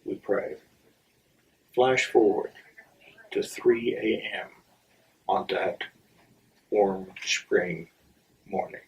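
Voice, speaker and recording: A middle-aged or older man telling a personal story in a theatrical, wry way, with dramatic pauses and halting asides. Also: fairly low pitch, steady tone, vocal fry. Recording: distant microphone.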